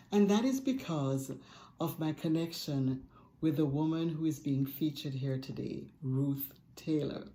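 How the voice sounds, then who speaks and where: Expressive older woman reading aloud slowly. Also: low-pitched, slight background noise. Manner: expressive, warm storytelling with dramatic emphasis. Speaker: author reading a foreword. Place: home study with bookshelves. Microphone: webcam at desk, close framing.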